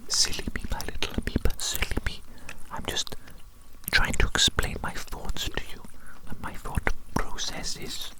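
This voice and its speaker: A mad scientist doctor, acted out by an ASMR performer speaks entirely in a breathy whisper. The delivery is slow and musing, with phrases softly repeated. The character dotes on a creation while pondering dark ideas in an intimate roleplay monologue. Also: natural voice. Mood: confiding, soothing.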